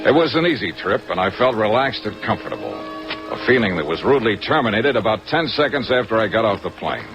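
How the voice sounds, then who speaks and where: A muffled old radio recording with music behind a wry American detective. His medium-pitched voice moves expressively as he narrates and trades sardonic, breezy wisecracks. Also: big pitch swings, a little fast, creaky. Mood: dry, matter-of-fact. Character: wry, wisecracking American freelance insurance investigator. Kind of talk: old-time radio detective acting and first-person narration.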